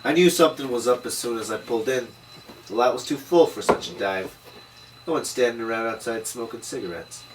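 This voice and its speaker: A man's voice: medium pitch, brisk, natural voice. A man playing a world-weary, middle-aged 1930s detective, dryly delivering terse hardboiled lines at a table read. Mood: dry, wry. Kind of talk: noir voice-over and dialogue at a table read. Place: indoor table read, recorded on camera. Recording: distant mic, faint room noise.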